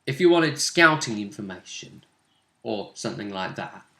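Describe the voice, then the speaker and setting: A man casually talking through a tabletop role-playing game as game master, often pausing and thinking aloud in broken phrases. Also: on the low side, expressive, gravelly, pushed and bright. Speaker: game master, host of a role-play vidcast. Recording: roomy, echoing room sound.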